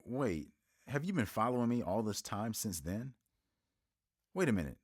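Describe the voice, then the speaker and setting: A man's voice: on the low side, very animated, normal speed, no room sound, clean studio sound. A man playing a nervous, nerdy young banker who turns gushing and eager. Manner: flustered, then gushing.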